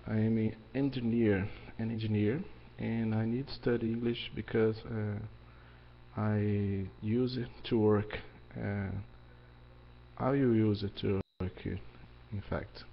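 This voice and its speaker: A man from Brazil with a Brazilian accent, a Portuguese speaker learning English, haltingly introducing himself in class. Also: on the low side, steady tone, gravelly. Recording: dull online call with faint noise.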